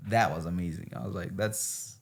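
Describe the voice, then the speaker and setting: A man's voice: moderate pace, gravelly. A man hosting a podcast in casual chat, playful and teasing. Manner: playful, teasing, argues with animated pitch swings. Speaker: podcast host.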